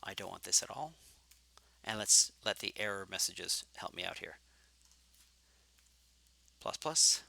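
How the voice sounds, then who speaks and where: A man walks through code in a live lecture, talking his way through each step and catching his own slips. His voice is low, with a gravelly fry and a sharp, tense brightness, and it sounds worn and hoarse. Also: lively intonation, measured pace, long pauses. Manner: thinking aloud, self-correcting. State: getting hoarse. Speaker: programming lecturer.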